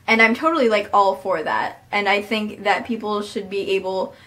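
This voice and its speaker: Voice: mid-range voice, steady tone, normal speed, pushed and bright. A young adult vlogger talking straight to a webcam in a small bedroom, earnest and reflective, thinking aloud with plenty of fillers. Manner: earnest and reflective, many fillers, talks with hands. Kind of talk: casual talking-to-camera vlog. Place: small bedroom with posters, indoors.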